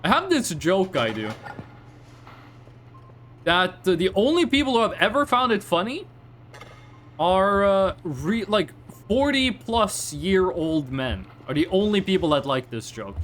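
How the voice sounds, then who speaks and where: Lively high-voiced Norwegian man streaming. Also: unhurried, a little hiss or hum. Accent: Northern Norwegian. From Northern Norway. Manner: rambling storyteller, mock-formal asides. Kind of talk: casual livestream chat while gaming.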